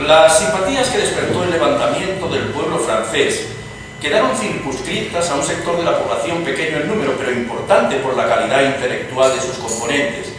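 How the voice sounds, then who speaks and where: A man playing an eloquent, earnest Spanish liberal gentleman in a dramatized reading, measured and courteous. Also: on the high side. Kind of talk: dramatized stage reading, radio theatre. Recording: echoey hall from far off, noisy.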